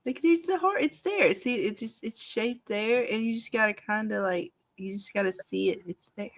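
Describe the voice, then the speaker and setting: A woman's voice: medium pitch, normal speed, no echo. A woman hosting a live call-in discussion. She talks in an enthusiastic, rambling way and giggles often. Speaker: show host. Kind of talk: casual live panel chat.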